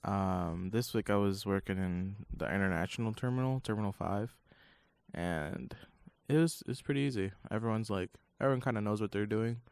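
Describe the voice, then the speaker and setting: A man's voice: on the low side, moderate pace, a little mellow, dry recording. A young man co-hosting a casual college radio chat, telling stories in a laid-back way with chuckles. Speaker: podcast co-host.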